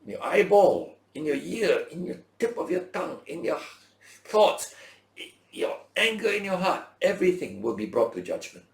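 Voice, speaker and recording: Gravelly man preaching in an echoey room. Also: on the high side, big pitch swings, normal speed. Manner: emphatic, dramatic short phrases with rhetorical questions. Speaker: preacher and Bible teacher. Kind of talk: sermon-like Bible lesson. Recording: roomy, echoing sound.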